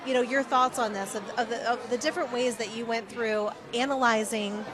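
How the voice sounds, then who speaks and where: Higher-voiced woman interviewing amid crowd chatter. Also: steady tone, a little fast. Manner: effusive, supportive of guest. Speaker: TV correspondent interviewing. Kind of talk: conversational on-location interview. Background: crowd at an event.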